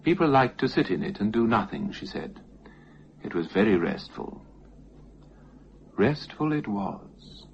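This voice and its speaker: A man reading a ghost story as scripted narration, in an expressive, theatrical manner. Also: fairly low pitch, a little slow, creaky, pauses often. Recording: muffled, noisy lo-fi recording.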